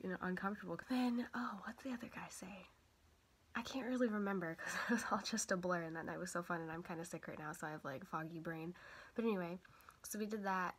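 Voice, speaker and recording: A woman's voice: on the low side, slightly rough. A young woman vlogger, a little sick and stuffy, chatting casually and rambling with lots of fillers. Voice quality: quiet voice. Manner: rambling, many fillers and self-corrections. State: stuffy nose from a cold. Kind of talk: casual chat.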